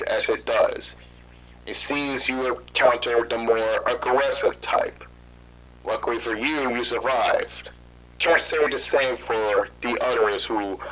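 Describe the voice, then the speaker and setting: Man voicing a composed official interviewer, formal and measured, bright clear tone, horror audio drama. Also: medium pitch, a little hiss or hum, low-quality recording. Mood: calm.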